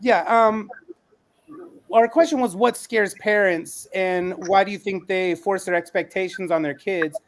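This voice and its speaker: A man with a high-pitched voice moderates a panel discussion. He is informal and encouraging, addressing the guests directly as he frames his questions. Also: fairly even intonation, a little slow. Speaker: podcast host, moderator.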